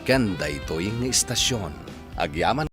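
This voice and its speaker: Male radio drama narrator, mid pitch, very expressive, reading over loud music. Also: measured pace. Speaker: narrator, announcer. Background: prominent music.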